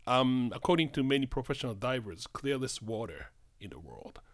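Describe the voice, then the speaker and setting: A man's voice: mid-range voice, sing-song. Japanese-accented middle-aged man, hesitant guest, stop-start delivery. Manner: halting, many fillers and restarts. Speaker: guest, spirits importer. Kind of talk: casual studio chat.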